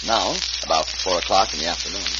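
A man's voice: sits low, quick pace, very noisy. A man narrating a radio drama as announcer, in a theatrical, emphatic vintage style. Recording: muffled old radio recording. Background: loud dramatic music.

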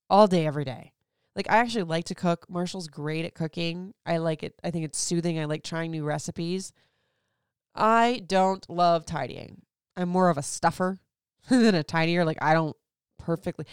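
A woman's voice: deep voice, clean tone, no room sound, clean studio sound. A woman in her thirties from Florida, chatting candidly as a host answering listener questions, rambling with lots of "like"s. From Florida, USA. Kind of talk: casual chat.

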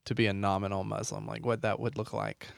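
A man's voice: on the low side, little pitch movement, fairly quick, creaky, no room sound, clean studio sound. A man hosting an interview, asking curious, probing questions and often restarting his sentences. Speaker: interviewer host. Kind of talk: conversational interview.

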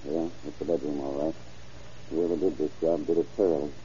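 A man playing a brisk, businesslike small-town sheriff in an old-time radio drama. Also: rumbling low, level tone, mellow. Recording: muffled old radio recording with hiss.

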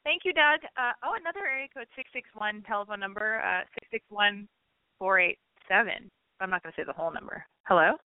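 A woman's voice: mid-range voice, fairly quick, dry recording. A woman hosting a call-in show, giving blunt, emphatic advice to callers. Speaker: radio call-in host. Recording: phone-like narrow sound.

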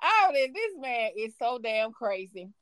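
A woman hosting a podcast in a casual, effusive, informal way, quick to laugh. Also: a little high, sing-song, fairly bright tone. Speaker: podcast host.

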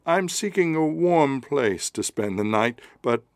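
A man's voice: mid-range voice, animated, unhurried, no echo, studio quality. A man playing a weary, humble wandering stranger in a children's story, speaking politely and hesitantly. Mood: grateful, humble. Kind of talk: children's story podcast character acting.

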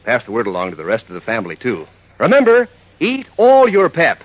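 A man's voice: mid-range voice, wildly swooping pitch, fairly quick, no room sound, highs cut off. A man announcing a scripted radio ad in peppy 1940s style, chummy and folksy, on an old recording. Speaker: announcer, pitchman.

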